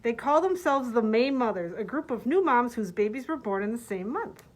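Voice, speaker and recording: A woman's voice: a little high, animated, normal speed. A woman librarian recommending books, partly reading their summaries aloud. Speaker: librarian presenting book picks.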